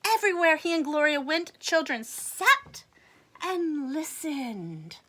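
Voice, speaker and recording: A woman reads a children's story aloud in a bright, high voice. Her delivery is slow and swings widely in pitch, with an expressive, exaggerated manner aimed at young listeners. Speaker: storyteller reading a picture book to children. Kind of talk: animated children's read-aloud with asides and questions.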